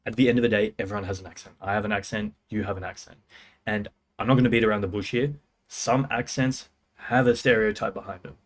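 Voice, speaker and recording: A young man, his voice sitting a little low. He talks straight to camera in a casual, self-assured way, firing off short punchy phrases and slang. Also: steady tone. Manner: fast, punchy, confident delivery with slang. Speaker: music producer and sales coach. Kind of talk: casual talking-head advice.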